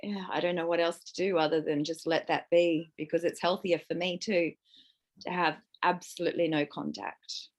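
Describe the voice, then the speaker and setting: A woman in her fifties with a croaky voice, sharing raw, distressed feelings in a book club and swearing as she vents. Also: lowish voice, steady tone, normal speed. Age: 50s. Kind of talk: emotional personal sharing.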